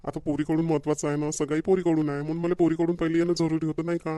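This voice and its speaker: A man's voice: fairly high pitch, little pitch movement, very fast, warm tone, dry recording, natural voice. A man voicing a sociable, practical village family man in a cartoon comedy, with a Vidarbha Marathi accent. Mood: friendly, sociable.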